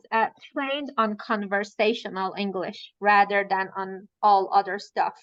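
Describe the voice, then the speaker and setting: A woman's voice: fairly high pitch, fairly bright tone, no room sound, highs cut off. A woman whose first language is Farsi, coaching a live online training and explaining at length with frequent fillers.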